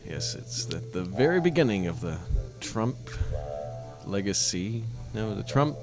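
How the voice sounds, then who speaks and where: A man's voice: on the low side, sing-song, unhurried, vocal fry, music in the background. A man co-hosting a comedy podcast, eager and agreeable, sometimes flustered. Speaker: podcast co-host. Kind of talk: improvised comedy podcast banter.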